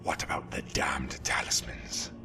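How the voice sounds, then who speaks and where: A man playing a menacing, sardonic Sith lord in a sci-fi audio drama, with a deep whispery rasp. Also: music in the background, natural voice. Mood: cold, impatient. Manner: slow-burning threats, sardonic. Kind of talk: fan audio drama acting.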